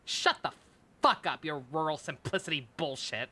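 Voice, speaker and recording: A woman's voice: low voice, lively intonation, moderate pace, bright, forceful tone. A woman streaming a video game with casual, exasperated, sweary commentary.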